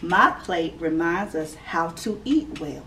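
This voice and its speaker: A woman teacher reads a picture book to little children, unhurried and clear, with a sing-song lilt. Her voice sits a touch low for a woman, and she is picked up by a camera mic a few feet away in a classroom with faint room hum. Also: animated, pushed and bright, distant mic. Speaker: preschool teacher reading aloud. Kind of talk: children's read-aloud storytime. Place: classroom indoors.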